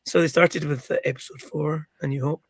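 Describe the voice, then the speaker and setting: Middle-aged guy with a croaky gravelly voice, kinda husky and higher than usual, lots of ums, dry sound. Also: steady tone, fairly quick, dry recording. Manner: rambling, many ums and restarts. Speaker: interview guest, podcaster. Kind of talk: casual interview chat.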